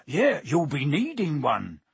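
A man's voice: on the high side, huge pitch swings, takes it slowly, creaky, breathy edge, dry recording, heavily acted. A man voicing a huge, jovial groundskeeper who talks in a hearty, folksy way.

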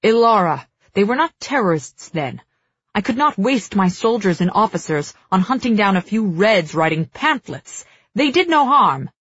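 A woman narrator acts out a raging storybook king. He is middle-aged and domineering, snarling and hissing his words. The husky voice sounds in a roomy, dulled recording. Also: a little high, a little slow, muffled recording. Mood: defensive fury. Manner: snarling, hissing through gritted teeth.

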